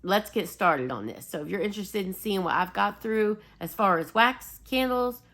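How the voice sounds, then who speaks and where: A woman vlogger chatting casually and rambling apologetically, slightly raspy and sniffly as she gets over a cold. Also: medium pitch, lively intonation, normal speed, fairly bright tone. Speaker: host of a home fragrance video channel. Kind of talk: casual rambling vlog chat.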